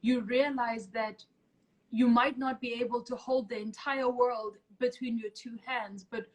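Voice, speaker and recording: Higher-voiced woman hosting a chat. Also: steady tone, measured pace, poor audio. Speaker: podcast host. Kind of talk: casual conversational interview.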